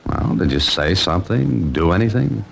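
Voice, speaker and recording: Dry American amateur detective, 1940s radio serial, low register, lively inflection, music-heavy vintage recording. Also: male voice, normal speed, gravelly, full character voice. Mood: probing. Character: wry, self-possessed male amateur sleuth narrating his case. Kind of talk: 1940s radio drama acting and narration.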